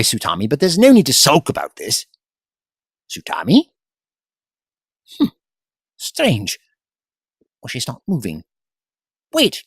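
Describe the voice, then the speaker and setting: An actor playing a flippant, clever time-travelling adventurer in an audio drama, chatty, wry and breezily polite. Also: male voice, on the high side, slightly rough, no echo, studio quality, heavily acted. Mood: breezy, puzzled.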